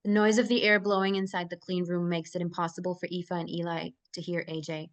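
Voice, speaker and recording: A woman reads out stage directions from a screenplay. Her voice sits a little low. The delivery is scripted narration, as at a live table read. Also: level tone, fairly quick.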